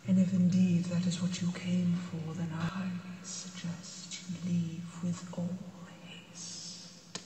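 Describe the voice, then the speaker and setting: A woman voicing an ancient, imperious but gentle female dragon in an ASMR fantasy roleplay, breathy and stately, with deliberate archaic phrasing. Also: lowish voice, monotone, a little hiss or hum. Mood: haughty warning. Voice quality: breathy, mostly whispered. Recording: echoey cavernous reverb, distant.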